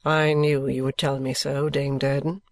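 A man playing an affectionate but bitter, evasive young gentleman, earnest and weary, in acted audiobook dialogue from a classic novel. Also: medium pitch, level tone, moderate pace, no room sound, lightly acted. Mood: impatient.

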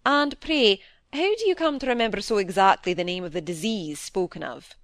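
A woman's voice: medium pitch, expressive, normal speed, fairly bright tone, no room sound, clean studio sound. Woman voicing a stern, commanding husband. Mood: cold, exasperated. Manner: clipped, insistent, grave. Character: stern, commanding middle-aged husband, coldly interrogating.

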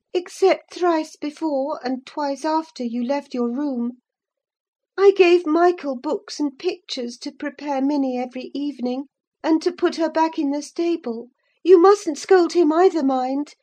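A woman's voice: sits high, warm tone, pitched above the performer's own voice. A woman voicing an anxious, pleading teenage girl in an audiobook, earnest as she confesses to her nurse. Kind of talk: dramatised audiobook character voice.

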